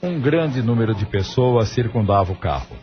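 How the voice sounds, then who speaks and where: A man's voice: on the low side, lively intonation, moderate pace. A man reading scripted narration for an audio drama as its narrator. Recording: muffled studio narration over music.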